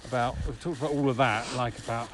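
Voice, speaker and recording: Male podcast co-host, average pitch, informal chat, open-air recording with faint background noise. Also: fairly even intonation, fast talker. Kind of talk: casual chat. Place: outdoors, walking in the countryside. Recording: outdoor walking recording with ambient noise.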